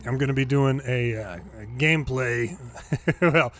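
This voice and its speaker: A man's voice: mid-range voice, measured pace. An American man giving casual, folksy gameplay commentary and explanations as a former controller hosting a gaming video.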